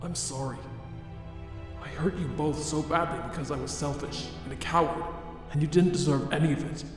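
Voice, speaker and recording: A man playing a remorseful, self-loathing monster scientist in a comic dub, speaking in a formal, deliberate way. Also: a little high, steady tone, close to an everyday voice. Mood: anguished apology. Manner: formal, deliberate, grave wording. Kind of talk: dramatic fan comic dub. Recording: very echoey long reverb under music.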